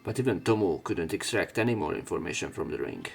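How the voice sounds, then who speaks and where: A man's voice: low voice, animated, fast talker, gravelly, soft background music. A man reading a visual novel's text aloud, breaking off now and then for casual, jokey commentary. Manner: casual, jokey asides between read lines. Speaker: let's play narrator.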